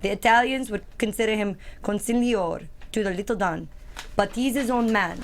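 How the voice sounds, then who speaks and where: Older Russian mob figure, cynical and knowing, read by a woman. Medium female pitch, plain-spoken table read drama. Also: normal speed, slight background noise, close to an everyday voice. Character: older male Russian mobster, worldly and cynical.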